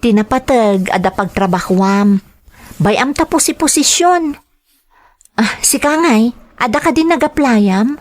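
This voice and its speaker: A woman's voice: a little high, animated, a little slow. A woman playing an eager, determined young female graduate in an audio drama, speaking earnestly and expressively. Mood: friendly, encouraging. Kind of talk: dramatized family radio-style drama.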